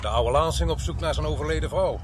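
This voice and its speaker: An actor playing a gruff, sarcastic police sergeant in a Dutch radio drama, with a dry, teasing manner. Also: male voice, fairly high pitch, fast, close to an everyday voice. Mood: dry, confiding. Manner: dry, sardonic, hesitating with small chuckles. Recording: noisy old radio play recording.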